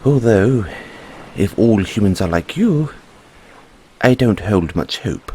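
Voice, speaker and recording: A man's voice: fairly low pitch, expressive, takes it slowly, a little mellow, slight background noise. A man playing an earnest, cheery merman prince in an audio roleplay, speaking in a theatrical, exclamatory way with genteel, fussy politeness. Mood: patient, explaining. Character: young, cheery, dramatic, naively earnest merman prince of Atlantis. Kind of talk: audio roleplay addressed to the listener.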